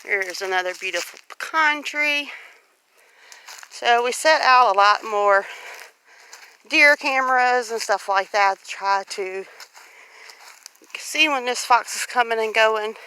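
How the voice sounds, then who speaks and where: A woman's voice: fairly high pitch, sharp tone, clean tone, low-quality recording. A woman with a Southern US accent chats in a folksy way, explaining things as she walks and films a casual vlog. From Louisiana, US. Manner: chatty, folksy, explaining while walking. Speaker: homestead vlogger. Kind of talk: casual walk-and-talk vlog. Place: outdoors on a rural homestead. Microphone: handheld phone. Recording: faint outdoor noise, handheld phone.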